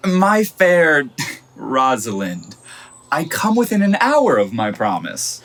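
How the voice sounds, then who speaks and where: A woman playing an easygoing, smitten young male lover in a Shakespearean radio play, courtly and unhurried. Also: rumbling low, huge pitch swings, fairly bright tone, exaggerated voice. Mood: fond, apologetic.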